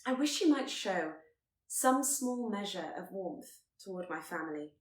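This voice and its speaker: A woman's voice: medium pitch, lively intonation, a little slow, lightly acted. Woman acting candid young Regency lady, articulate, spirited, tender, storybook romance, echoing room. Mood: exasperated, reproachful. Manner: articulate, teasing, earnest.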